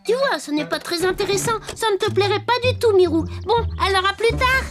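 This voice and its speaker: Very high-pitched puppet boy. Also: brisk. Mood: flustered. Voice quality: childlike. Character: cheerful, earnest little wooden puppet boy, taxi driver. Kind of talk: French children's cartoon dubbing. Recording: music behind the voice.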